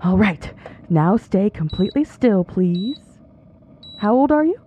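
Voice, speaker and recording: A woman's voice: mid-range voice, animated, measured pace, phone-quality sound, a little hiss or hum, lightly acted. Woman acting a sugary, swooping, unsettling nurse. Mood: brisk, eerily cheery. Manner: sugary endearments, breezy, chattering. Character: chatty, saccharine, unsettlingly careless female nurse. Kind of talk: audio drama acting.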